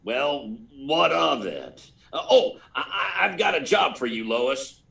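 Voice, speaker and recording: An actor playing a harried, gruff newspaper editor in a 1940s-style radio serial, stammering and barking clipped orders, recorded at a distance. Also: male voice, mid-range voice, big pitch swings, slow pace, bright, forceful tone, exaggerated voice. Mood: gruff, hurried. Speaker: actor in a live radio play. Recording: distant, far from the mic.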